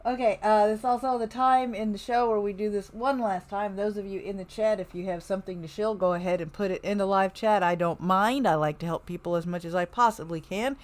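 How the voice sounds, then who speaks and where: A woman's voice: mid-range voice, steady tone, a little fast, few pauses. A woman hosting a livestream in a casual, chatty style. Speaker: livestream host. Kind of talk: casual chat.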